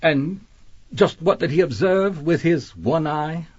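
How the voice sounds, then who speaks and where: An actor playing an outwardly polite, scheming publisher in a period mystery audio drama, speaking formal, measured English. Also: male voice, on the high side, animated, a little mellow, breathy edge, muffled recording, exaggerated voice. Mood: guarded politeness.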